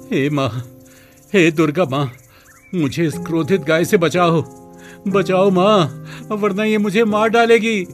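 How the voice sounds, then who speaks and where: A man playing a greedy village milkman in an animated moral story, pleading dramatically and fearfully. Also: high voice, slow, faint music behind. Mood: terrified. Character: greedy village milkman, frightened, begging for mercy.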